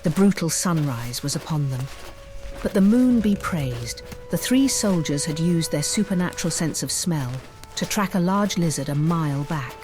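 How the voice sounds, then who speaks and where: Female audiobook narrator for fantasy, measured, descriptive delivery with a faint music bed. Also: low voice, lively intonation, a little mellow.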